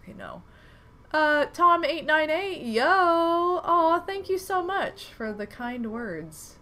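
Animated young woman streamer, stuffy nose. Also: high-pitched, slow. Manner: animated, exclaims at the game. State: stuffed nose, recovering from flu. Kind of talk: casual livestream chat while playing. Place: home room, indoors.

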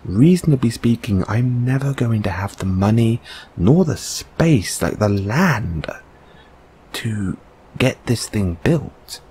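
A man playing a gentle, encouraging boyfriend in a comfort audio roleplay, speaking softly and haltingly with reassuring tag questions. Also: medium pitch, expressive, slight background noise. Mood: wistful.

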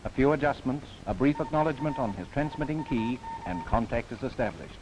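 A man delivers formal, scripted documentary narration in the style of the 1930s. His mid-pitched voice rises and falls in broad, animated sweeps while staying measured and earnest. It sounds like an old film soundtrack, with a little background noise.

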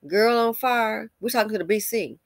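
A woman's voice: a little high, moderate pace, dry recording. American lady reacting to comments, blunt n scolding, lots of asides, really dry room sound. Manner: blunt, scolding, rhetorical questions. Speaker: commentary channel host. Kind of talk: opinionated commentary reading viewer comments.

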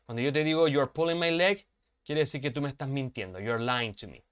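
Smooth-voiced teacher on a webcam. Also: male voice, mid-range voice, fast talker, dull audio. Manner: explanatory, checks in with frequent "¿ok?" and "¿ya?". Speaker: English teacher. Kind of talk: online class explanation. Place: home room, webcam.

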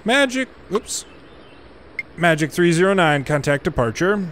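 A man with a slightly high-pitched voice narrates his airport game. He gives measured controller-style instructions and drops into casual muttered asides, with some ambient noise behind him. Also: very animated, a bit bright. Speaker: gamer and former air traffic controller. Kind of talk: gameplay commentary with air traffic control radio phraseology.

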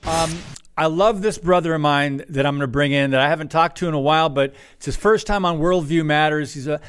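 A man hosting a faith talk show, introducing a guest and questioning him in a friendly way with quick bursts of speech. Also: fairly high pitch, fairly bright tone, soft background music. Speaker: podcast host. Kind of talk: interview host chat.

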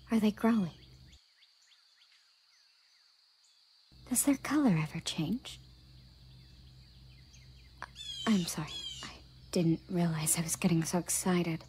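A woman playing a haughty, curious elf warrior in a roleplay audio drama, speaking softly and formally, often near a whisper. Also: mid-range voice, slight background noise, close to an everyday voice. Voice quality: soft, breathy, often whispery. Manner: formal, measured phrasing.

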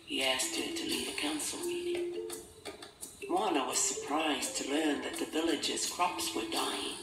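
A man reading a storybook as narrator in a measured, theatrical, dramatic style. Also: mid-range voice. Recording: distant, roomy sound under loud music. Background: loud music.

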